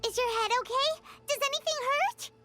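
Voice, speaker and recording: A woman voicing a tiny, childlike fairy companion in an anime game, squeaky, naive and inquisitive, exclaiming as she goes. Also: moderate pace. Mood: confused concern. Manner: exclaiming, refers to self in third person. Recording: loud background music.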